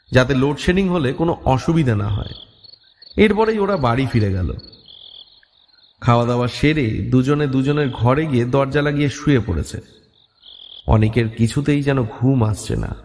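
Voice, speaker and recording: A man's voice: medium pitch, a little mellow. A man narrating a scripted horror story as a dramatic storyteller. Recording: long reverb.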